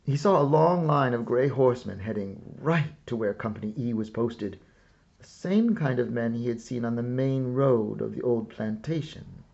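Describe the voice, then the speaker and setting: A man reading a children's story aloud as scripted narration, with a measured, dramatic storyteller's delivery. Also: medium pitch, animated, gravelly, a little mellow, dull audio. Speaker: storyteller reading a picture book.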